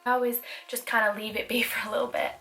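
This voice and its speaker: A woman's voice: a little high, little pitch movement, quick pace, bright, forceful tone, soft background music. A young woman with a Manchester accent, chatting through a makeup tutorial with self-deprecating asides. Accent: Mancunian. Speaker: beauty vlogger.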